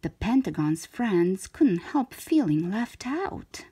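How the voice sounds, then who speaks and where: A woman narrates a storybook for young children with wide, lively intonation. Her pace is slow and deliberate, stretching out key words. The voice has a slightly breathy, husky edge. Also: medium pitch. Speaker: children's storytime host reading a picture book aloud.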